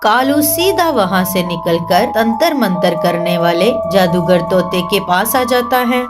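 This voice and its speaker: A woman's voice: medium pitch, lively intonation, unhurried. A woman reading scripted narration for a children's cartoon story as the storyteller. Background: music.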